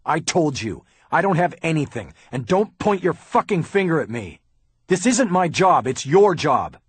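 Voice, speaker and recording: A defensive, sarcastic lawyer, put on by a male narrator, his voice lifted well above the narration and pressing hard. The tone stays husky and dry, with a lively swing in pitch. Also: normal speed, no echo. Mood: defiant. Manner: heated, raised and insistent.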